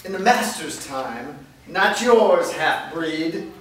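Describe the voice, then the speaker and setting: Guy playing a snooty vampire elder in a play reading, slow, far from the mic. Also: fairly high pitch, expressive, fairly bright tone. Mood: haughty, defiant. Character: haughty male vampire elder, servant of a master. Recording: echoey room, far mic, faint noise.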